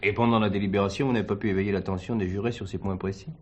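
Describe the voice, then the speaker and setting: An actor playing a courteous, inquisitive nephew who questions an elder politely in a drama. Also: male voice, lowish voice, fairly even intonation, very fast, darkish tone, close to an everyday voice. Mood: probing, courteous. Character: probing, courteous nephew questioning his uncle.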